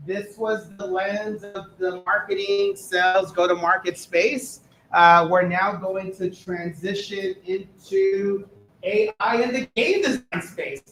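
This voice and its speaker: A man's voice: high-pitched, a bit bright, slight background noise. A man hosting a conference session in a casual, upbeat way, with lots of fillers, speaking on a stage mic in a hall. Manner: upbeat, filler-heavy, chatty. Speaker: event host. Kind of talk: casual event emceeing. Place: conference stage, echoey room.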